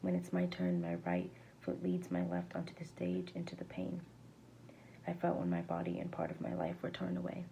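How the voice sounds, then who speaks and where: A woman reading a verse novel aloud as an amateur, with a creaky, gravelly voice and a halting delivery that sometimes stumbles and rereads words. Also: lowish voice, mellow, lo-fi sound. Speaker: amateur reader. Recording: faint background noise.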